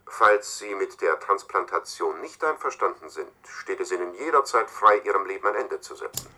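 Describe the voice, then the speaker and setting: An actor playing a cool, domineering scientist in a science-fiction radio play, delivering a formal, measured, matter-of-fact message. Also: male voice, low-pitched, lively intonation, full character voice. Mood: coolly formal. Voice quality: husky, sharp-edged. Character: cool, domineering male scientist leaving recorded instructions to successor.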